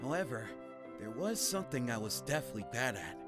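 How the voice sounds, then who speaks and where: A man voicing a shy, bookish teenage high school boy in a manga dub, self-effacing and expressive. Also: fast. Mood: self-deprecating. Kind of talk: manga dub narration and dialogue. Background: background music.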